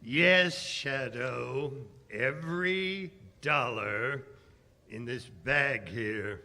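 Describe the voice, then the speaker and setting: An actor playing an earnest, excitable police commissioner in 1930s radio drama style, halting and exclamatory. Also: male voice, medium pitch, full character voice. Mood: nervous.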